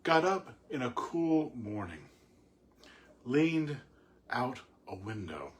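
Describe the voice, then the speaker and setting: A man reading and discussing poetry informally, in a reflective, halting, explanatory way. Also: medium pitch, big pitch swings. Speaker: poet reading and commenting on a poem. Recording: clearly audible background noise.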